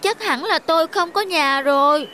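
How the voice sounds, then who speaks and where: A woman voicing a small, forlorn snail in an animated fairy tale, innocent and wondering. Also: very high voice, moderate pace, slight background noise. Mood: puzzled, forlorn. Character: small naive homeless snail, puzzled and forlorn. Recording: music under the voice.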